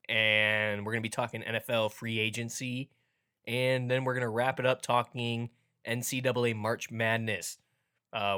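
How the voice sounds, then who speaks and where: A young man hosts a laid-back sports podcast, upbeat and joking. His voice sounds stuffy and keeps cracking from allergies. He talks at an ordinary middle pitch. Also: a bit bright. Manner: upbeat, joking, playfully hammy intros. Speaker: podcast host. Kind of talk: casual podcast chat.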